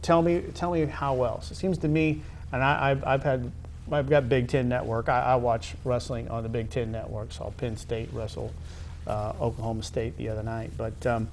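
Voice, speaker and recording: A middle-aged American man conducting a conversational sports interview, halting and wordy, often repeating phrases. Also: mid-range voice, little pitch movement, a little mellow, slight background noise. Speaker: interviewer, high school athletic director.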